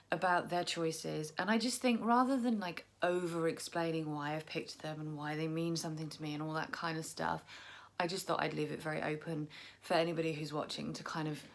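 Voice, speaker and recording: A low-pitched young woman with a croaky, gravelly edge from tiredness. She rambles casually to camera and laughs at her own over-explaining. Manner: chatty, self-deprecating, rambling. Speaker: tarot vlogger.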